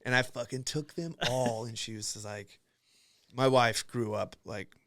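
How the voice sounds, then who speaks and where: A man's voice: mid-range voice, fairly even intonation, a little slow, fairly bright tone, no room sound, clean studio sound. A young man hosting a podcast, telling rambling, profane stories in casual chat and acting out what others said. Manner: profane, rambling storyteller who acts out quotes. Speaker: podcast host.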